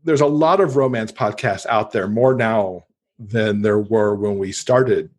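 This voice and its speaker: A man's voice: lowish voice, measured pace, a little mellow. A man talking casually as a guest in an interview, chatty and enthusiastic about books. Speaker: podcast guest; podcast host and author.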